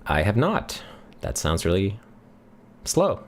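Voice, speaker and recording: Swedish-accented man, middle-aged, lowish voice, laid-back livestream host, close boom mic. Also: slow pace. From Sweden. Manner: relaxed, thinks aloud with many ums, reads chat questions out. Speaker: livestream host answering chat questions. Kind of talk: casual live Q&A. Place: home office, indoors. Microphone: studio mic on a boom near the face.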